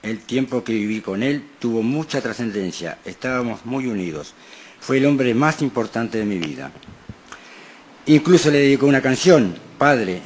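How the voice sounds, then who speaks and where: A man co-hosting a radio show, reading a printed interview aloud. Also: on the low side. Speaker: radio co-host. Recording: noticeable background noise.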